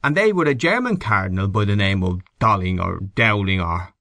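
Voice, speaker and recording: A man voicing a thick-set, authoritative Irish gentleman who holds forth emphatically in an audiobook. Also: expressive, moderate pace, no room sound. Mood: holding forth. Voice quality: deep, raucous. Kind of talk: audiobook character acting.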